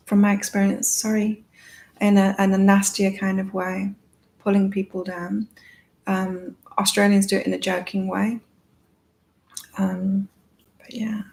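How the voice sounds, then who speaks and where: A woman hosting a live psychic card-reading chat with a calming voice, talking in a rambling, reflective way with many fillers. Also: medium pitch, gravelly, low-quality recording. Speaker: psychic reader and live-stream host. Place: in Thailand.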